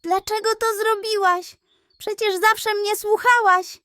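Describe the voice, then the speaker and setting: A greedy little boss of a pug from a children's storybook, played by a woman. The voice is pitched high and full of self-importance, sly and theatrical. It sounds close and dry. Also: steady tone, a little slow, bright, forceful tone, no room sound, full character voice. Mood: hurt, apologetic. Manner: pompous and nonchalant, turning plaintive.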